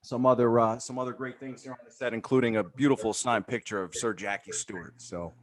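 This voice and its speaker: Casting: New York male broadcaster, mid-pitched, confident showman delivery, good mic. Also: fairly even intonation, a little fast. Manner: confident, showy presenter delivery. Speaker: professional broadcaster, fan guest. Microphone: good studio microphone.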